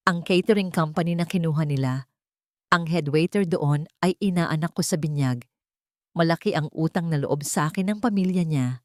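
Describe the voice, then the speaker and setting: A woman's voice: sits low, moderate pace, dry recording, clean studio sound, close to an everyday voice. A woman voicing a loyal elderly housekeeper in an audio drama, earnest, emotional and fiercely resolute. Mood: conspiring. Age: older.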